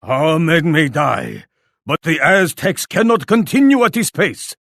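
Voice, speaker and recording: A man's voice: a little high, big pitch swings, unhurried, creaky, a bit bright, dry recording, clean studio sound, heavily acted. An actor playing a proud, grim Japanese warlord in a video game, solemn and declamatory as he addresses troops and allies. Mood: defiant resolve. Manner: solemn, declamatory, speaks of himself in third person. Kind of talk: theatrical video game voice lines.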